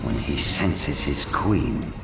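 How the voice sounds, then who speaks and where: A man's voice: a bass voice, expressive, takes it slowly, creaky, exaggerated voice. An actor playing a sinister, silky schemer who coaxes a fallen king in a video game, grand and theatrical. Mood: calm, scheming. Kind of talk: dramatic video game cutscene acting. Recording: echoing voice over game music.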